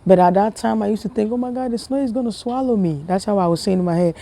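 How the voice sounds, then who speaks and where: A young woman from Ghana telling a funny personal story to her viewers in a casual, animated way, often repeating herself and laughing. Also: mid-range voice, quick pace. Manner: animated, repetitive, laughing, talks to viewers as family. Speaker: vlogger.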